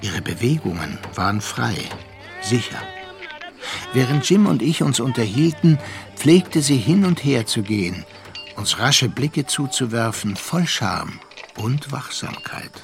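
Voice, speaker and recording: Reflective narrator, measured, melancholy, medium pitch, literary radio play, music underneath. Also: male voice, big pitch swings, gravelly. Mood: wistful recounting. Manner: measured, contemplative.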